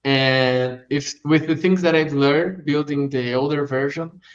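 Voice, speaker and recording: A young man in his twenties with a Portuguese accent, a non-native English speaker pitching his college project in a halting way, full of fillers and restarts. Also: fairly even intonation. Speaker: presenter at demo day. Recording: dull lo-fi sound.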